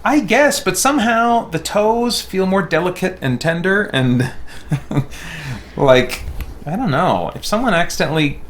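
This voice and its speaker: A man's voice: high-pitched, lively intonation, measured pace. A man chatting casually as he hosts a game livestream, rambling and thinking aloud. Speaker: game streamer and reviewer, host of the stream. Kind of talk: casual livestream chat while playing a game. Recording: clear background noise.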